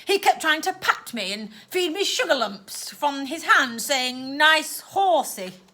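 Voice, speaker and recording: Shrill storybook villain aunt, put on by a woman reader: loud, clipped, syrupy-sweet, bright edge. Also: animated, takes it slowly, full character voice. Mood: theatrical menace. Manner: loud, clipped, sickly-sweet baby talk. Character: shrill scheming cruel older aunt.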